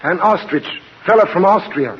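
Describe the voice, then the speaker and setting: An actor playing a jolly, blarney-spouting Irish uncle in a theatrical brogue, in 1940s radio comedy style. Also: male voice, high-pitched, expressive, moderate pace. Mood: sweet-talking, playful. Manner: theatrical stage-Irish brogue, flowery sweet talk. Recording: muffled, noisy 1940s radio recording, studio audience.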